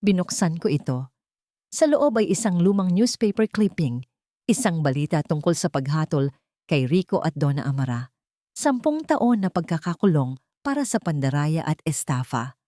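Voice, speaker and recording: A woman's voice: low-pitched, dark tone, dry recording, clean studio sound. A woman with a Filipino accent reading a scripted, dramatic first-person story as an audiobook narrator.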